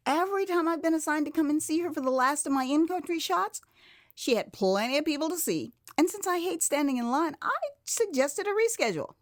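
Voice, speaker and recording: An American woman narrating a first-person memoir as audio drama, with an expressive, theatrical delivery. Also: high-pitched, a little fast, no echo, studio quality. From United States. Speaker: author and narrator.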